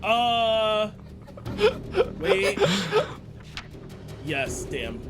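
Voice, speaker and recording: Male tabletop player, fairly high voice, animated intonation, casual game chat. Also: very slow, creaky. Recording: music playing under the voice.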